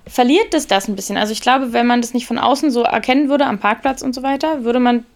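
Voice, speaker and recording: A woman co-hosting a casual podcast chat about a gym, quick-witted and teasing. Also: fairly high pitch. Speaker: podcast co-host, gym trainer.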